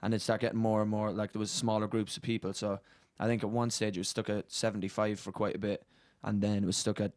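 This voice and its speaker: A man's voice: fairly low pitch, flat delivery, fast, hardly any pauses, a little mellow, no room sound, clean studio sound. A man in his early twenties with an Irish accent, chatting casually as an interview guest. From Ireland. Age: early 20s. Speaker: boy band singer, interview guest. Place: radio studio. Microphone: studio microphone.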